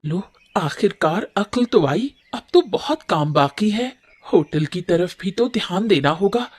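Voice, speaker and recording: A woman's voice: lowish voice, animated, a little slow, faint music behind. A woman voicing a caring village wife. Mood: encouraging. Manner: gentle, encouraging. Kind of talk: cartoon story dub.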